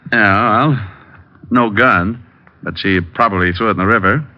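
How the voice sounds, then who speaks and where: An actor playing a cool, wisecracking private detective with a dry, needling manner, in 1940s radio style. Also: male voice, lowish voice, a little slow, close to an everyday voice. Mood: dry accusing. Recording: dull vintage radio recording, music behind.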